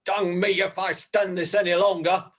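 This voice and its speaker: An actor playing a jealous, blustering young Yorkshire farmer with a broad Yorkshire accent and a husky voice. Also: male voice, high voice, flat delivery, moderate pace. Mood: jealous rage. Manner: bluff, blustering, shouting at times.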